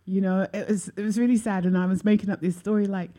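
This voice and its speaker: A woman hosting a talk show in loose, casual chat. She jokes freely, throws in quick asides and keeps up a steady stream of affirmations. Her voice sits at a middle pitch. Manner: chatty, joking, quick asides and back-channel affirmations.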